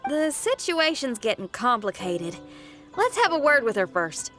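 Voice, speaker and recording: A woman's voice: a little high, big pitch swings, unhurried, music in the background. A woman voicing a bold, spirited young female street musician in a game, casual and direct in how she talks. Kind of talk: video game cutscene dialogue.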